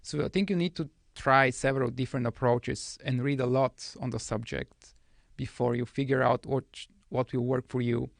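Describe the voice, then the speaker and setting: A man in his thirties, originally from Bulgaria, speaking as a guest trader in a studio podcast interview with a soft, measured manner. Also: mid-range voice, fairly even intonation, creaky, no room sound.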